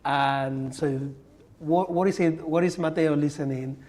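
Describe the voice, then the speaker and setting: A man's voice: on the high side, steady tone, a little slow, a little mellow. A man hosting a podcast interview, often nodding along with short affirmations. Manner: brief affirming backchannels. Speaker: podcast host. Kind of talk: conversational interview.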